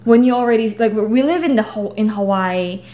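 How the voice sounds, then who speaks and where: A woman's voice: medium pitch, fairly quick. A young woman from the Philippines, a native Tagalog speaker, co-hosting a casual vlog and talking in an easygoing way with lots of "like" fillers. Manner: chatty, many "like" fillers, animated hand gestures. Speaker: vlog co-host. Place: small living room indoors.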